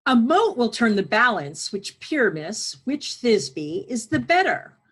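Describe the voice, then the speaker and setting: Woman playing a witty courtly heckler; very varied pitch, measured pace, playful mockery, amateur video-call reading. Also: big pitch swings, fairly bright tone, husky, heavily acted. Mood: quibbling. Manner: playfully mocking. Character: teasing, quibbling noble spectator at a play. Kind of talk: amateur Shakespeare table read. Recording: home video call recording.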